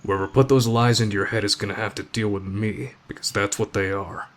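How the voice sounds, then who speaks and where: A man acting in an ASMR roleplay as a gruff, aloof teenage delinquent, deadpan and terse but protective of a friend. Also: lowish voice, fairly quick, lightly acted. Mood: firm, annoyed.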